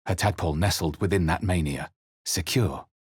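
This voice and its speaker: A low, husky male narrator telling his own dark fantasy tale. His delivery is solemn and deliberate, like a literary game narration from a brooding adventurer. The recording is close and dry. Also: dry recording. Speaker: video game narrator in first person. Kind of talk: dramatic scripted fantasy narration.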